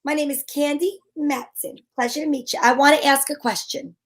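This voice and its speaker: A sassy, self-assured lady detective, acted by a woman in an old-time radio mystery. Her voice sits high, and she delivers slangy, wry lines as both sleuth and narrator. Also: fairly even intonation, fairly quick, close to an everyday voice. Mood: brisk, probing. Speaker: lead actress and narrator.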